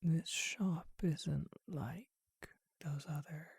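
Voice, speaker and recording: A performer voicing a charming, courtly male vampire who keeps a bookshop, whispering slowly and deliberately in an ASMR roleplay. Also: medium pitch, steady tone, vocal fry, husky, no echo, clean studio sound, heavily acted. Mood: courtly, inviting.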